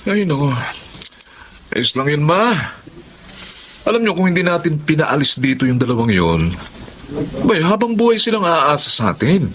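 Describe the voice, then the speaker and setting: A man's voice: fairly high pitch, sing-song, moderate pace, pauses often, a bit bright, a little hiss or hum. A man acting a stern, dismissive grown son with a Filipino accent in an audio melodrama.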